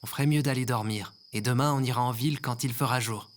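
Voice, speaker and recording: A man's voice: mid-range voice, fast, natural voice. A man playing an impulsive, remorseful ordinary guy in an audio drama, speaking casually and colloquially. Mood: worried. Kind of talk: scripted audio fiction acting.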